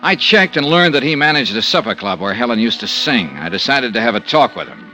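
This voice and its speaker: Bright-voiced hard-boiled radio detective. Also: male voice, medium pitch, big pitch swings, brisk, faint music behind. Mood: wry narration. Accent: American. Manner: wry, insistent, hard-boiled delivery. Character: tough, dogged male insurance investigator with a conscience. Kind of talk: 1950s radio detective drama acting. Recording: noisy old radio drama recording.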